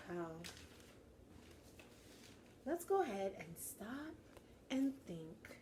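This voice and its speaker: Unhurried woman reading aloud to children. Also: mid-range voice, dark tone, a little hiss or hum. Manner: encouraging, pauses to question young listeners. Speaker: teacher reading a picture book aloud to children. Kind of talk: animated read-aloud with teaching asides.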